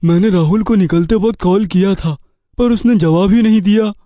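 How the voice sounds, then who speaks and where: Male storyteller playing earnest youth, raised high pitch, horror-story dialogue. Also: normal speed, dark tone, no room sound, clean studio sound, natural voice. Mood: agreeable.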